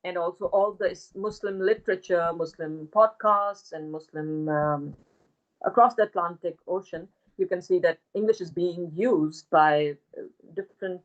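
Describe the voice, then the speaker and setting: Female academic guest, lower voice, hesitant delivery, lo-fi remote sound. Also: normal speed. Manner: hesitant, many fillers and restarts. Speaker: guest linguist. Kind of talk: academic conversation.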